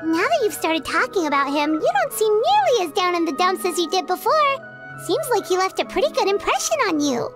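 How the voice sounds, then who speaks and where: A woman voicing a tiny, childlike fairy companion in an anime-style video game, chatty, expressive and earnest. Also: extremely high pitch, a little fast, over loud music. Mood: curious. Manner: refers to self in third person, chatty and expressive.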